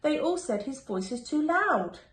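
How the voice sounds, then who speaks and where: A woman reading a rhyming children's picture book aloud in an expressive, sing-song storytelling manner, like a primary school teacher. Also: high voice, measured pace. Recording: echoey room with faint background noise.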